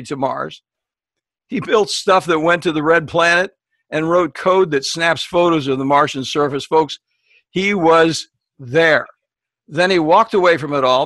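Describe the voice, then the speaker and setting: A podcast host reading a guest introduction with enthusiasm, talking to listeners as folks. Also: male voice, fairly high pitch, a bit bright, no room sound.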